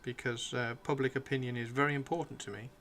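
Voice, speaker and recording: Bright-voiced man hosting, voice wearing thin. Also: mid-range voice, fast. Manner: excitable, repeats phrases. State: losing his voice. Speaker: livestream host. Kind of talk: casual live stream chat while painting miniatures.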